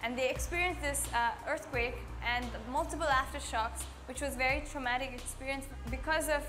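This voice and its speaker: A woman's voice: high-pitched, fairly even intonation, normal speed. A woman from Nepal speaking earnestly in a project video. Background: background music.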